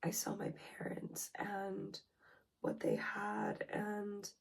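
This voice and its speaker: A young woman with a hoarse, breathy voice from a cold, her words often slipping into a whisper. She talks slowly with frequent pauses and ums, and a gravelly fry sits under her tone as she shares a personal self-help vlog. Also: medium pitch, completely flat delivery. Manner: slow, hesitant, with many ums and soft whispery breaths. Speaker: relationship vlogger.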